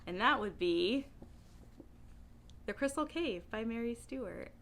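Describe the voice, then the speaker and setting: A woman talking casually to camera as a book vlogger, with an animated, emphatic way of speaking. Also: fairly high pitch, a little slow, creaky.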